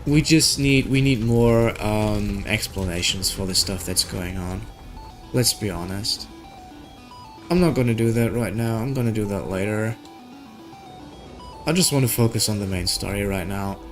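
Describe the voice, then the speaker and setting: A man's voice: lowish voice, normal speed. A man giving casual, rambling, opinionated commentary as he streams a video game. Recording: game music behind the voice. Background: video game music.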